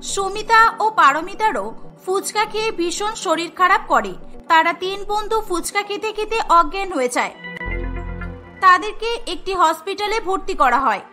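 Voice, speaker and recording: A woman's voice: extremely high pitch, expressive, a little slow, pushed and bright. A woman narrating a scripted cartoon story as the storyteller. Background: music.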